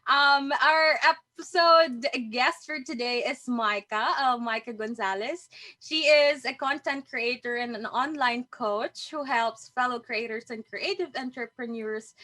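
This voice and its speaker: A woman's voice: on the high side, unhurried, pushed and bright. A woman with a Filipino accent co-hosting a podcast, cheerful and chatty in casual talk. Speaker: podcast co-host. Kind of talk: casual chat, code-switching.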